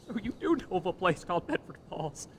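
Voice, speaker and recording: A man's voice: high-pitched, very animated, brisk, a bit bright, a little hiss or hum. A young man playing a stammering desperate man. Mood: pleading. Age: young adult. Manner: stammering, pleading. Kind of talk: live radio play acting. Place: stage in a small theater. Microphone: vintage stand mic on stage.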